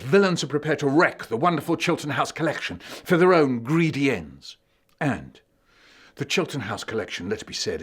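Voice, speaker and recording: A man's voice: fairly high pitch, slightly rough, voice pushed up. A man voicing a pompous male dog who argues a case in grand, orating tones in a storybook reading.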